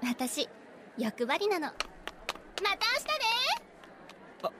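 A woman's voice: very high voice, wildly swooping pitch, very slow, heavy background noise, full character voice. A woman playing a sweet, teasing schoolgirl with a crush in a radio advert skit, playful and cutesy. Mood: coy. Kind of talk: scripted radio advert drama.

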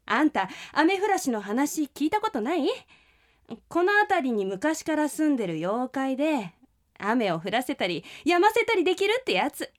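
High-pitched, bossy young sister. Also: female voice, sing-song, moderate pace. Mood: teasing. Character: chatty, bossy, teasing young sister of schoolboy. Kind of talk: audio drama acting.

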